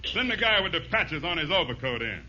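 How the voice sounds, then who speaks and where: An actor playing a tough, sarcastic, bullying jailer, gruff and mocking, in a vintage radio sitcom. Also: male voice, fairly high pitch, big pitch swings, fairly quick, bright, forceful tone, exaggerated voice. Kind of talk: 1940s radio sitcom acting. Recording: muffled, noisy vintage radio recording.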